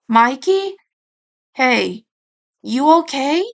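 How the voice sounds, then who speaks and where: A woman's voice: sits high, sing-song, very slow, gravelly, exaggerated voice. A woman voicing a stubborn, protective teenage male turtle ninja, the blunt older brother, in a fan story reading. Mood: concerned.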